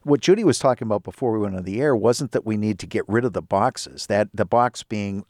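A man's voice: lowish voice, fairly quick, a little mellow, dry recording, studio quality. A man in his fifties hosting an interview, talking in an earnest, reflective way with many restarts. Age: 50s. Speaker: podcast host. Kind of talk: conversational interview.

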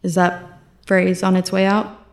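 An actress playing a snappy, quick-witted physicist in her thirties in an audio drama. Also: lowish voice, completely flat delivery, a little mellow. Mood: excited, playful. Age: 30s. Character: snappy, sarcastic female physicist, expectant mother. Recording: echoey reverberant room, music behind.

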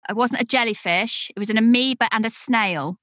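A woman hosts a podcast in a relaxed, talkative way, drawing on her own experience. Her voice is bright and forward, recorded dry and close on a studio mic. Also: a little high, fairly quick, dry recording. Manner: chatty, explains from personal experience. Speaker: podcast host. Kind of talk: casual podcast conversation. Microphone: podcast microphone, seated.

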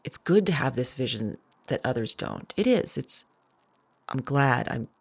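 A woman acting a searching, anxious fugitive visionary who talks to spirits, halting and correcting herself in an audio drama. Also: rumbling low, big pitch swings, creaky, breathy edge, exaggerated voice. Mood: hesitant, hopeful. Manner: halting, self-correcting, repeats words. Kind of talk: naturalistic audio drama monologue.

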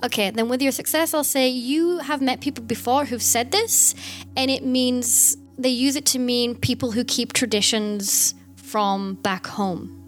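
A woman running a tabletop game in a high voice, explaining rules casually with frequent fillers and restarts. Faint music plays behind her. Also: fairly even intonation, a little slow. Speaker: game master.

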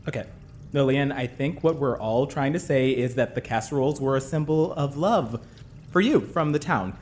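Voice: medium pitch, lively intonation, normal speed, a little mellow, natural voice. An actor playing a mild, earnest, stubbornly insistent small-town local in an audio drama. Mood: earnest, placating. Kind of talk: naturalistic audio drama dialogue. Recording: audio drama with faint background noise.

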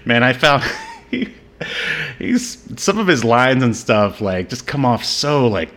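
A man hosting a podcast in casual movie-review banter, talking in a halting, joking, conversational way. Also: medium pitch, very animated, fairly bright tone. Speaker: podcast host.

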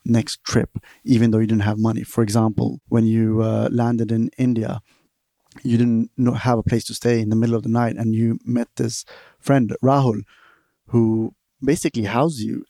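A man's voice: medium pitch, vocal fry, warm tone, dry recording, studio quality. A man hosting a podcast, earnestly recapping his guest's journey before asking questions. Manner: earnest, recounts the guest's story at length. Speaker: podcast host. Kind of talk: interview questions.

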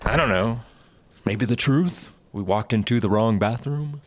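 A man's voice: mid-range voice, unhurried, slight background noise, natural voice. A man acting an easygoing, food-loving, cheerfully oblivious buddy in a sci-fi comedy audio drama. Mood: relaxed. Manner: casual, unbothered, cheerfully oblivious. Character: laid-back, easygoing, food-loving male squad car buddy.

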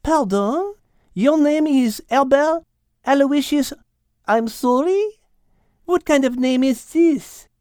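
A poised French female cat speaks slowly in a high, soft-edged voice. She is formal, wise and a little stern, measuring out each phrase as in a storybook. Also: animated, no echo, clean studio sound. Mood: curious, doubtful. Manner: measured, formal, slow and deliberate.

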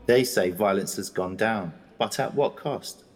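A man's voice: on the low side, unhurried, in a large hall. An actor playing a frustrated, outspoken male prisoner in an English audio drama, speaking bitterly and urgently. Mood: defiant.

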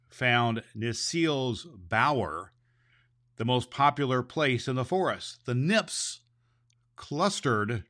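A man's voice: medium pitch, sharp tone. A man hosting a storybook reading, narrating expressively and stumbling slightly over some words. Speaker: storybook reader and podcast host. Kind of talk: storybook narration read aloud.

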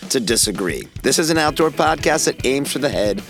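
Hoarse raspy man hosting a podcast. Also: medium pitch, brisk, soft background music. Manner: emphatic and wry, lively rises on key words. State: hoarse from a cold. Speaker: podcast host. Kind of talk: opinionated podcast commentary.

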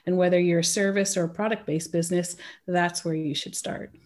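A woman's voice: lowish voice, fairly even intonation, brisk, darkish tone. A woman from California with an American accent, a guest in a casual interview, talking about pricing in a chatty way and often breaking into laughter.

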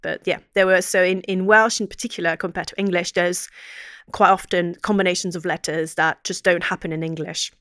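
A woman with a fairly low voice talks through her research study on a podcast. She speaks casually and thoughtfully, with plenty of fillers and restarted sentences. The recording is dry and close, with no room sound. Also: steady tone, a little fast, fairly bright tone, dry recording. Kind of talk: casual explanatory chat.

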